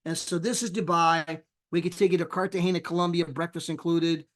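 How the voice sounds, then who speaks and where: High-voiced man giving a lively sales pitch. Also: fairly quick. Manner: animated, acts out quoted people with big pitch jumps; casual, folksy phrasing. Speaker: sales presenter. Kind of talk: energetic sales pitch on a live call.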